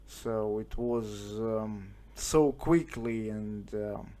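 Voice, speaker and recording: A man with a Russian accent chatting casually as an amateur radio operator, hesitant and halting as he searches for words. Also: fairly low pitch, dark tone. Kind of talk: casual radio contact chat. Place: at home, indoors.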